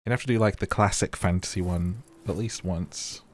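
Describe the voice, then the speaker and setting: A man's voice: low voice, steady tone, moderate pace. A man doing casual game commentary in a rambling, playful, informal way as the host of a gaming video. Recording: game music under the voice.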